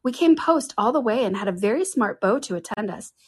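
A woman reading puts on a vain, silly young lady who simpers and preens as she chatters. The voice rides higher than her own and has a breathy edge, told like a storybook. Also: expressive, a little fast. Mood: simpering. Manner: affected, simpering.

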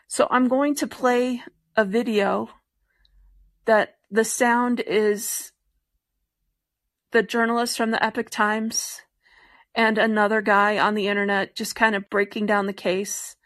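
A woman's voice: medium pitch, level tone, slow pace, long pauses, a bit bright, no room sound. A woman with an American accent hosting a show, introducing it in an informal spoken style. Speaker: podcast host.

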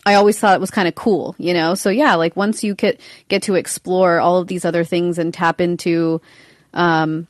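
A young woman, a podcast guest, talking in a relaxed way full of fillers, often trailing off softly. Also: lowish voice, moderate pace, no room sound, clean studio sound. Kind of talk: casual interview talk.

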